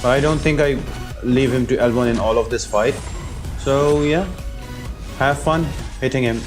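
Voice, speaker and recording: A man's voice: mid-range voice, normal speed, over music. A man giving an informal, explanatory game walkthrough as a video host, talking directly to viewers. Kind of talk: casual game walkthrough commentary.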